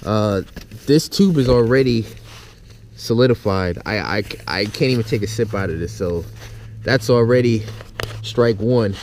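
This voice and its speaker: Mellow-voiced man vlogging casually. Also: lowish voice, a little hiss or hum. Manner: informal, unscripted, addressing viewers. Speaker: vlogger, outdoor gear tester. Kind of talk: casual vlog talk. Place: outdoors in a yard, in and around a tent.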